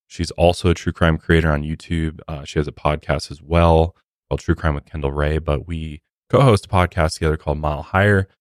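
A man's voice: rumbling low, fairly even intonation, a little fast, dry recording, studio quality. A man with an American accent hosting a podcast, chatting casually to open the show. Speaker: podcast host. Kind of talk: casual podcast intro and chat. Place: podcast studio.

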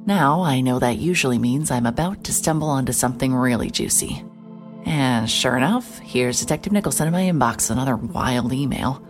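An actress playing a wry, hard-hearted private investigator narrating a noir audio drama in a dry, deliberate way. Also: female voice, rumbling low, lively intonation, music in the background. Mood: brooding, observant.

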